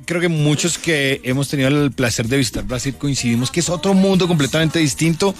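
A man's voice: on the high side, a little fast, soft background music. A man co-hosting a radio show, chatting in a jokey way. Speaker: radio co-host. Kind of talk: casual radio banter.

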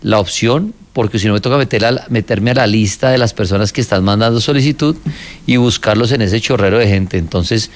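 A man with a Colombian accent hosting a live stream, talking in a loose, joking, slangy way. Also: medium pitch. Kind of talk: casual live stream chat.

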